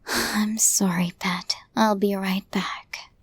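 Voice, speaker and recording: A woman playing a possessive, lovestruck yandere crime boss in an intimate ASMR audio roleplay, speaking in a breathy, deliberate, formal way. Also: medium pitch, steady tone, slight background noise. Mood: tender, possessive, whispery. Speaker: voice actor in romantic audio roleplay.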